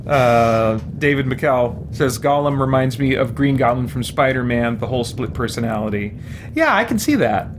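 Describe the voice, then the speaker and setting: A man's voice: mid-range voice, animated, moderate pace, noisy background. A man hosting a gaming stream with casual, rambling, explanatory let's-play commentary. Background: game music.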